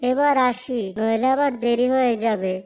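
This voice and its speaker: A performer voicing a gentle, caring village father, affectionate and reassuring, in a cartoon story. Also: medium pitch, normal speed, close to an everyday voice. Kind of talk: children's cartoon story acting. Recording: music behind the voice.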